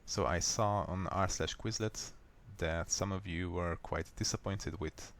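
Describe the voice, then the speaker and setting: A man with a Hungarian accent, a native Hungarian speaker, calmly walking viewers step by step through a software fix in a screen recording. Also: deep voice. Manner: unhurried, plain, step-by-step explaining. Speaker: software tutorial maker. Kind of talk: screen-recorded how-to walkthrough.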